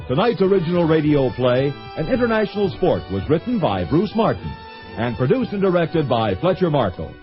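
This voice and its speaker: A male announcer reads closing credits in a formal, measured manner. His intonation rises and falls freely. Faint music plays under a dull, lo-fi broadcast sound. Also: fairly high pitch.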